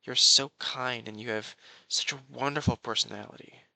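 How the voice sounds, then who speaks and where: Guy doing a young royal prince for romance roleplay, super bright forceful voice, posh formal wording, lovestruck n a bit possessive, really dry close sound. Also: mid-range voice, steady tone, normal speed, creaky, dry recording, poor audio. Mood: proud, fond. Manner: formal, flowery, genteel speech. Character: courtly young crown prince, besotted, quietly possessive. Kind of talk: romantic audio roleplay addressed to the listener.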